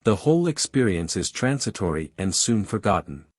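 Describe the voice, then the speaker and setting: Male narrator, fairly low pitch, scripted exam practice read in a dry space. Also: big pitch swings, moderate pace, no echo.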